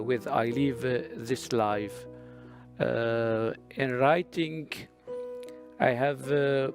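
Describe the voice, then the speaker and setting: A middle-aged man from Syria, a Kurdish and Arabic native speaker, talking as a poet at a literary festival with many drawn-out "uh" pauses and then reciting poetry. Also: medium pitch, creaky. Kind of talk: hesitant prepared talk, then poetry recital.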